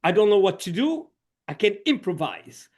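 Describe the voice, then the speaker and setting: A man's voice: high-pitched, very animated, moderate pace, a little mellow, breathy edge, lo-fi sound. A middle-aged man from Switzerland, a social coach guest, telling his story in a reflective way with many "you know"s. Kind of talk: conversational podcast interview.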